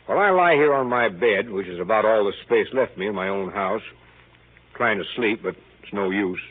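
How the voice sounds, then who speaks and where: A man's voice: lowish voice, expressive, normal speed. A man playing an exasperated, sardonic middle-aged father, narrating a 1930s radio drama in a dry, weary, complaining way. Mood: wry, exasperated. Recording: tinny 1930s radio recording.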